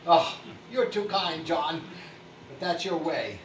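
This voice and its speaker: An actor playing a humble, kindly old toymaker on stage, halting, stammering and apologetic. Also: male voice, fairly high pitch, expressive. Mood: grateful, cheerful. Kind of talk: theatrical stage acting. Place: theatre stage. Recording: echoey distant stage recording, faint noise.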